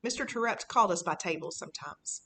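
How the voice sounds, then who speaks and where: A woman's voice: on the low side, animated, quick pace, vocal fry, fairly bright tone, a little hiss or hum. Woman reading as a cocky, mischievous fifth-grade boy. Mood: impressed, pleased. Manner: casual, boastful, conspiratorial storytelling. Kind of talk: first-person children's audiobook reading.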